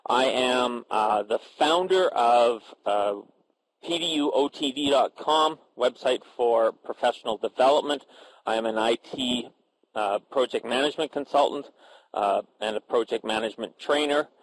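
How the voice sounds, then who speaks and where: A man moderating a professional panel discussion, introducing guests and asking questions in a halting way with many filler sounds and restarts. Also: mid-range voice, slight background noise, poor audio.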